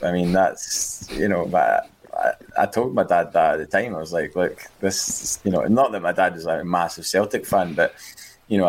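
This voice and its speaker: A man's voice: low-pitched, slight background noise. A man co-hosting a podcast, talking casually with frequent restarts and repeated words. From Scotland. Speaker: podcast co-host. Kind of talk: casual chat.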